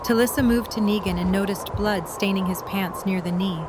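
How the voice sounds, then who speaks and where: Woman narrator over clear background noise. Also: medium pitch, fairly even intonation, normal speed. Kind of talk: scripted story narration. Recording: noisy storm ambience behind.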